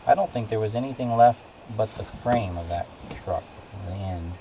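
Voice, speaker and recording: A middle-aged American man chatting casually, telling anecdotes in an informal, rambling way. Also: normal speed. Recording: dull lo-fi recording with loud rumbling noise.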